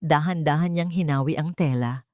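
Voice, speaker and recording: A Filipino woman reading a scripted dramatic story in a measured, solemn and expressive way. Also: sits low, no echo, clean studio sound.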